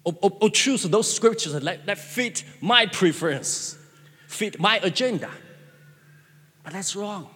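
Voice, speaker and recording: Male pastor, Chinese native speaker, earnest sermon, slow and lively delivery, long hall reverb. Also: high voice, husky. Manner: earnest, repeats phrases, stammering restarts.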